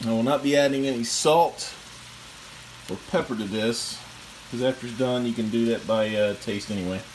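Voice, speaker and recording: Man, casual cooking vlog, mid pitch, roomy kitchen echo, faint sizzle and hum behind. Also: moderate pace. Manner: chatty, restarts and fillers, enthusiastic. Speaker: vlogger reviewing a kitchen skillet. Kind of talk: casual product review while cooking. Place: home kitchen. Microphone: camera mic, speaker off screen. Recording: echoey kitchen with faint background noise.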